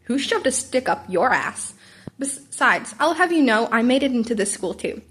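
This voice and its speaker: A woman's voice: a little high, very animated, normal speed, fairly bright tone, slight background noise. A woman voicing a hot-tempered, foul-mouthed teenage boy who snaps and sneers contemptuously. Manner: snapping, sneering.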